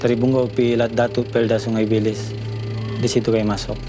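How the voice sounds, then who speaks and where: A man's voice: medium pitch, level tone, normal speed, music in the background. A man raised in Sabah speaks colloquially and plainly in a documentary interview, with a Sabah Malay accent. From born in the Philippines, raised in Sabah, Malaysia.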